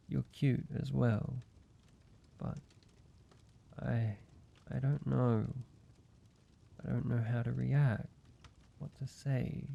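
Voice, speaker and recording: A man voicing a weary, guarded ancient vampire who is grieving yet tender, speaking haltingly in an intimate roleplay audio drama with a gravelly voice. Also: lo-fi sound, full character voice. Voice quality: low, gravelly. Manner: slow, halting, hesitant delivery with pauses.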